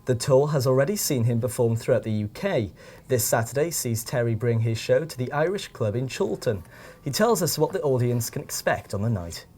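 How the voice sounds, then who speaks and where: A man's voice: medium pitch, animated, fairly quick, darkish tone. A young man presenting a student TV news bulletin from a studio. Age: young adult.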